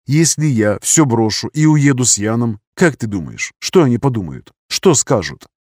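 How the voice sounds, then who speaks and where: A man voicing a calm, resolute young wife and mother in an audiobook, speaking softly and earnestly in a lifted voice. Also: moderate pace, no room sound, clean studio sound. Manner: soft, earnest, slightly raised pitch. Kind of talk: audiobook character reading.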